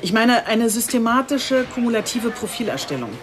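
A woman's voice: on the high side, big pitch swings, normal speed, heavy background noise. A woman playing a police chief inspector in a radio drama, articulate, earnest and matter-of-fact. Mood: determined, earnest. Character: determined, composed female police chief inspector. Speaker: police detective. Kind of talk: scripted radio drama dialogue.

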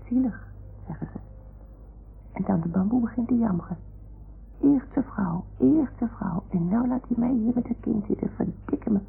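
Radio play role: naive little toy dog, inquisitive and childlike, creaky voice, tinny noisy old recording. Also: female voice, mid-range voice.

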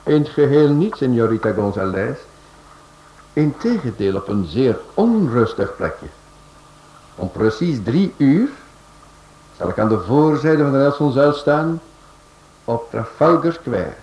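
An actor playing a witty, self-assured crime novelist in a radio drama, urbane and teasing. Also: male voice, medium pitch, very animated, a little slow, long pauses, darkish tone, muffled recording. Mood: calm. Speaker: detective novelist. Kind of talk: Dutch radio drama acting.

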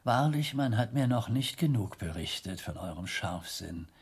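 Worried old abbot in a radio play, creaky husky low voice, solemn and careful with words. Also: male voice, animated, normal speed, exaggerated voice. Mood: troubled, grave. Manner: solemn, guarded, hesitating before grave words. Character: worried, dignified older abbot of a monastery. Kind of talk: German radio drama acting, formal dialogue.

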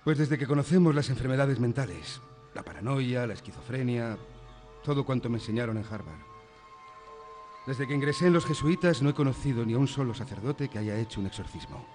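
A man's voice: medium pitch, brisk, a little mellow, soft background music, close to an everyday voice. A man playing a reserved, sceptical priest in a radio drama, with a Castilian accent and a matter-of-fact manner. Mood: sceptical, firm. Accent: Castilian Spanish. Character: sceptical, reserved male Jesuit priest and psychiatrist. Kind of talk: Spanish radio drama acting.

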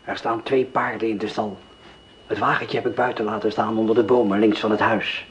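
An actor playing a cold male gang leader in a radio drama, who gives orders in a calm, precise way. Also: on the low side, brisk, lo-fi sound, a little hiss or hum, lightly acted.

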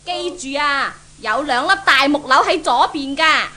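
A woman's voice: sits high, a little slow, pushed and bright. A woman acting a spoiled, tyrannical young mistress with a foul temper in a 1970s Cantonese radio drama, scolding haughtily in a Hong Kong Cantonese accent. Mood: scolding, imperious. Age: young adult.

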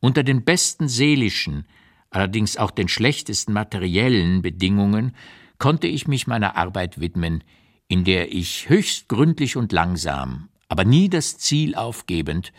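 An actor playing an elderly male writer looking back on his life, reading memoir prose in a measured, emphatic, carefully articulated way for a radio play. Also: lowish voice, sing-song, exaggerated voice. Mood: reflective, candid. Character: 85-year-old writer looking back on his exile years, reflective and candid.

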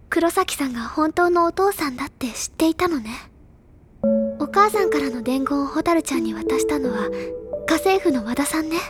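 A woman voicing a gentle, perceptive teenage girl in an audio drama, explaining in a measured, earnest way with soft phrase endings. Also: sits high, natural voice. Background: faint music.

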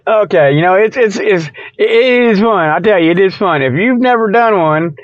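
A man with a notably high voice hosts an improvised comedy monologue. He talks in a folksy, repetitive, rambling way and clearly enjoys his own jokes. The sound is dry and slightly dull, like lo-fi radio. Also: expressive, moderate pace, no room sound. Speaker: host of a comic Bigfoot report show.

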